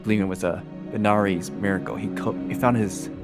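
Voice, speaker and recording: A man's voice: lowish voice, steady tone, quick pace. A man hosting a group game session, reading story narration aloud over music.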